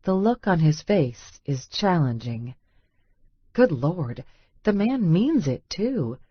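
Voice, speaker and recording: A woman's voice: lowish voice, big pitch swings, takes it slowly, slightly rough, no echo. A woman reading a scripted first-person romance audiobook, with slightly muffled sound. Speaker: audiobook narrator.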